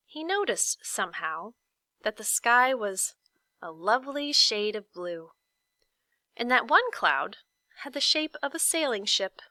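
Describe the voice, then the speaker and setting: A woman reads a children's story aloud like a teacher to a class, with expressive, deliberate phrasing and dramatic pauses. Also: fairly high pitch, no echo.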